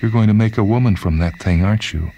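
A man's voice: on the low side, fast talker, vocal fry, warm tone, a little hiss or hum, voice pushed up. A man voicing a distraught, bitter middle-aged woman in a short-story reading, with anguished exclamations.

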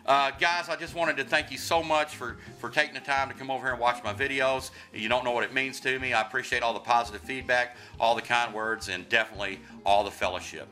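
A man's voice: mid-range voice, pushed and bright. A man with a Kentucky accent hosting a hands-on tech tutorial in a folksy, enthusiastic, repetitive way. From Kentucky, USA. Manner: folksy, enthusiastic, repeats himself. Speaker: HVAC contractor hosting tech tip videos.

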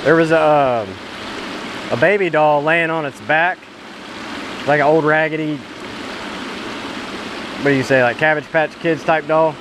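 A man in his thirties casually telling a story while fishing at a creek, recorded on an action camera. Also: fairly high pitch. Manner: easygoing storyteller, chuckling. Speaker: fishing vlogger. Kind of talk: casual outdoor fishing chat. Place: wooded creek outdoors. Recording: outdoor camera audio with stream noise. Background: rushing stream water.

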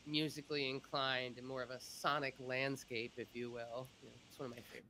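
A woman's voice: very deep voice, level tone, fast talker, pushed and bright, a little hiss or hum, rough recording. A woman chatting casually and animatedly as the host of a panel on a video call. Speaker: podcast producer and host. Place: indoors at home, on a video call.

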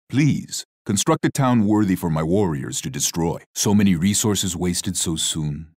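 An actor playing a proud, stern Sioux war chief in a strategy video game, speaking in a measured, declamatory way. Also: male voice, low voice, dry recording, studio quality. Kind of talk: scripted strategy game character lines.

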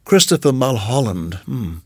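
Man reading as a puzzled, earnest young lodger, lively. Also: medium pitch, normal speed, breathy edge, no echo, studio quality. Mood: musing. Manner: musing, hesitant, courteous. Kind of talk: audiobook character voice.

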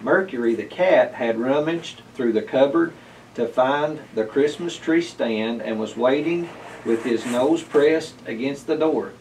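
A man's voice: medium pitch, measured pace, a little mellow, far from the mic, a little hiss or hum, poor audio. A middle-aged man narrating a children's storybook aloud in a library room. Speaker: library board chair reading aloud. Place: indoors, library room with bookshelves.

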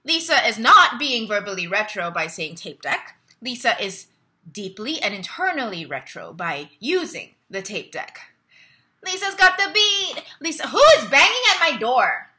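A woman's voice: a little high, big pitch swings, measured pace, pushed and bright, exaggerated voice. A young woman acting a stage monologue as a lonely, wry, restless woman reading out her online status updates. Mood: frazzled, then loud, exasperated. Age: young adult. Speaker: actor in a staged play reading. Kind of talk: dramatic monologue read as social media status updates. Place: living room at home. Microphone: laptop webcam at close range.

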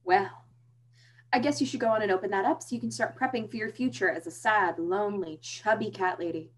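A woman's voice: mid-range voice, brisk, natural voice. A young woman with an American accent playing a pushy, teasing teenage best friend in a play reading, blunt and sardonic. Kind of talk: virtual staged play reading. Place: small room, indoors. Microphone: computer video call.

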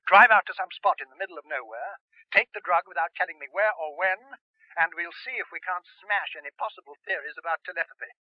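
An actor with a British accent playing a commanding, intellectual scientist in a radio drama. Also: male voice, high-pitched, fast, no room sound. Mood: persuasive. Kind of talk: 1970s radio drama acting. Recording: dull band-limited telephone sound.

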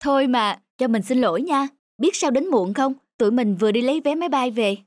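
A woman's voice: high voice, expressive, a little fast, no echo. A woman voicing a chatty, upbeat and boastful young woman in a cartoon. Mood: cheerful, boastful. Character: chatty, cheerfully boastful young female friend. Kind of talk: cartoon dubbing.

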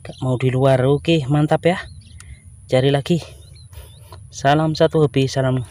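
A man's voice: medium pitch, steady tone, measured pace, a little mellow. A man talking casually to viewers while fishing outdoors, with frequent exclamations, recorded on a body-worn camera. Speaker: fishing vlogger. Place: outdoors by muddy rice field water. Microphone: body-worn action camera.

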